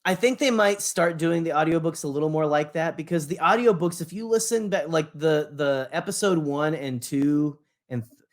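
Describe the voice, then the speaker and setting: A man with a somewhat high-pitched voice co-hosts a casual podcast. He talks like a fan in loose conversation, wandering through his points, hesitating and restarting phrases midway. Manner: rambling, halting, often rephrasing mid-sentence. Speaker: podcast co-host.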